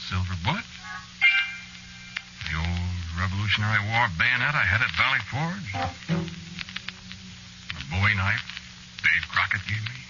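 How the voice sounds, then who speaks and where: An actor playing a haunted, centuries-old man muttering haltingly to himself in an old-time radio horror play. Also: lowish voice. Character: haunted centuries-old man hunting a shapeshifting witch lover. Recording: dull, noisy vintage radio recording.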